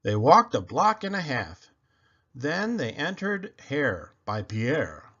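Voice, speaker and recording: A man reading a children's picture book aloud in an expressive, animated and deliberate storytelling manner. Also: medium pitch, dull audio.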